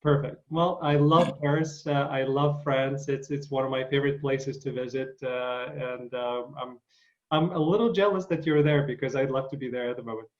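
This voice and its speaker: A man's voice: mid-range voice, steady tone, moderate pace, darkish tone, lo-fi sound. A man hosting a casual interview, enthusiastic and full of fillers and restarts. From Ukraine. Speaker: interview host.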